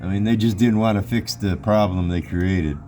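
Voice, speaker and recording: A man with a deep voice chatting casually to viewers as he works on his car, rambling and often apologizing about the camera. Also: normal speed, warm tone, soft background music. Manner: rambling, apologetic, talks to viewers while working. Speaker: vlogger working on his car. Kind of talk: casual DIY repair vlog. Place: garage or driveway, working on an engine. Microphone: phone camera set near him.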